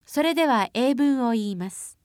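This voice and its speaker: A woman announcing formal exam instructions in an even, formal manner, recorded on a close studio mic. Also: high-pitched, fast talker, clear, resonant tone, dry recording. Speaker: test announcer.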